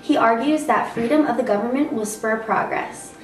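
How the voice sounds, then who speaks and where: Higher-voiced woman anchor, echoey room. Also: moderate pace, soft background music. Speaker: news anchor. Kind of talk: scripted amateur mock newscast. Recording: echoey room, distant mic, faint noise.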